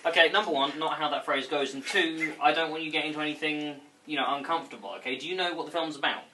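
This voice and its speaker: Man as an exasperated cinema worker, talking fast. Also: a little high, fairly even intonation, bright, forceful tone, poor audio. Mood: wry, insistent. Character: exasperated, concerned male cinema worker, sensible friend. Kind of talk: comedy script table read.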